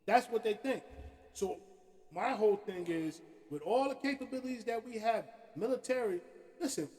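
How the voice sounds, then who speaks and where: High-voiced man ranting in an echoing hall. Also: moderate pace. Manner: animated, profane, repeats "you know what I'm saying". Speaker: podcast host. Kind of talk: opinionated casual rant. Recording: hall-like reverb.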